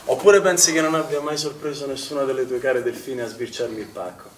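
A man's voice: medium pitch, brisk, close to an everyday voice. An actor playing a vain, cocky young male swimming instructor in a stage play, bantering and insistent. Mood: cocky, teasing. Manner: bantering, self-satisfied, insistent. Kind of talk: naturalistic stage acting in a theatre play. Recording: echoey, noisy theatre recording from far off.

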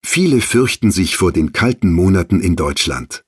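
Husky, lively male presenter. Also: deep voice, moderate pace, no echo, clean studio sound. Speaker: presenter of an audio magazine. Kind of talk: scripted introductions for language learners.

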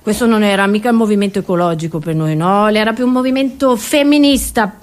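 A woman's voice: mid-range voice, fast, lightly acted. A woman playing an indignant old pensioner grandmother in a comic monologue, rambling, stammering and repeating herself as she reminisces. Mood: reminiscing, insistent.

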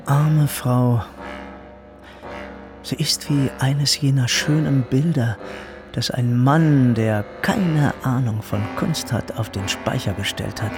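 An actor playing a smug, lecherous bourgeois in a radio drama, speaking with patronizing flattery and pomposity. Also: male voice, mid-range voice, takes it slowly, music in the background. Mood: pitying. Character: smug, lecherous, condescending wealthy bourgeois suitor. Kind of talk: literary radio drama acting.

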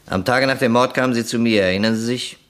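An actor playing a shrewd, skeptical police detective in a German crime radio play, questioning in a dry, probing, sardonic way. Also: male voice, medium pitch, fast talker, a bit bright, close to an everyday voice. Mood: dry, needling.

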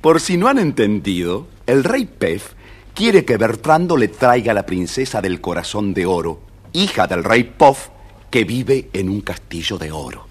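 A man with an Argentine accent and a husky voice, narrating a scripted story for children. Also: on the low side, sing-song, unhurried.

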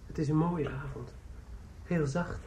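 A man's voice: on the high side, steady tone, a little slow, dark tone, a little hiss or hum, natural voice. An actor playing a brooding, hesitant young man in love, in a radio drama. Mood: subdued. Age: young adult.

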